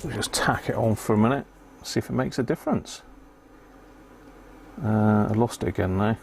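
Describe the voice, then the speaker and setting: A man's voice: on the low side, normal speed, a little mellow. A man giving casual live commentary on an electronics repair, muttering to himself as he concentrates on fiddly work. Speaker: amateur radio hobbyist hosting a live repair stream. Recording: clear background noise.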